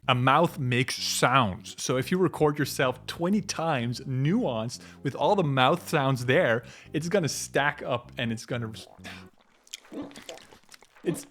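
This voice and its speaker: A young man with a low, full voice explaining vocal recording techniques to camera in a small home studio, talking with animated hand gestures. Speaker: music producer and vocal coach. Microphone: studio condenser mic on boom arm in front.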